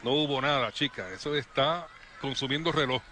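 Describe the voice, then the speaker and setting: A man calling play-by-play for a youth football match, brisk and lively on attacks. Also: mid-range voice, some chatter behind, slight background noise. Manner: brisk, rising excitement on attacks. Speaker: play-by-play commentator.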